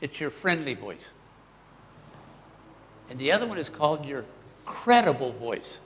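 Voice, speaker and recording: A man leads a live workshop, talking with lively swings in pitch and acting out example voices as he teaches. His voice sits a little high for a man. It was recorded in a large hall with a long echo and a faint hum of room noise. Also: a little slow. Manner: animated, demonstrative, models voices for the audience. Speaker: workshop presenter.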